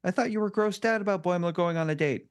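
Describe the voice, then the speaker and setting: A woman playing a disapproving, puzzled male officer in a questioning, concerned way at an animated sci-fi comedy table read. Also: lowish voice, fast talker, natural voice.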